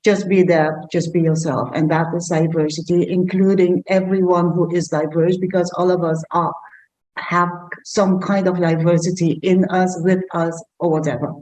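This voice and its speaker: Woman from Aruba, lower voice, earnest panelist on a video call. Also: level tone, darkish tone, low-quality recording. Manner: earnest, pausing to find words. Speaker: project leader for inclusion. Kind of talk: casual self-introduction.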